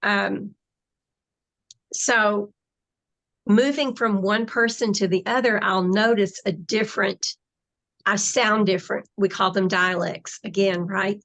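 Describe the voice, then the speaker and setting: A woman guest in a spiritual interview, explaining slowly and deliberately over a remote call. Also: mid-range voice, steady tone, a bit bright.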